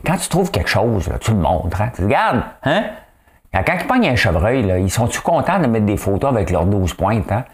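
A man's voice: on the low side, very animated, a little fast. A man with a Quebec French accent hosting his own show alone, chatting casually with joking asides and frequent tag questions. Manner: chatty, asks "hein?" often, joking asides. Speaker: solo online show host. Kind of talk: casual solo commentary show.

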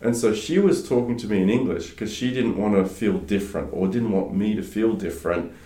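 A low-voiced man from Australia whose first language is French, speaking as an interview guest. He talks in a relaxed way, with many fillers and restarts. The room around him adds a noticeable echo. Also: a little fast. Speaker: guest, startup advisor. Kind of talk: casual interview conversation. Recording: roomy echo.

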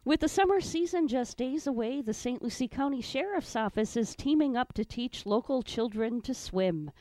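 A woman's voice: on the high side, moderate pace, dry recording, poor audio. Dry-sounding female radio newsreader and co-host. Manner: giggly in banter, even and clear reading news. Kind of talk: morning show chat and news reading.

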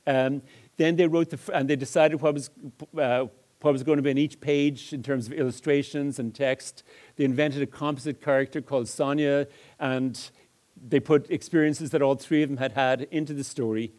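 A man giving a lecture, explaining examples in a measured, hesitant way. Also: medium pitch, steady tone, a little mellow. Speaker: lecturer on multilingual education.

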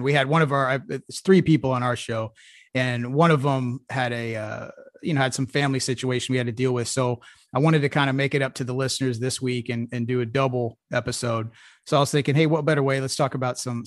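A man's voice: mid-range voice, steady tone, fairly quick, no echo, studio quality. Rambling podcast host, man. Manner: rambling, many fillers and restarts. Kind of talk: casual interview.

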